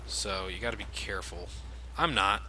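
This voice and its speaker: Low-voiced young man swearing over gunfire. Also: normal speed. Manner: relaxed, swears freely, laughs often. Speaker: let's play commentator. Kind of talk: casual game commentary. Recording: game gunfire noise behind. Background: game gunfire and ambience.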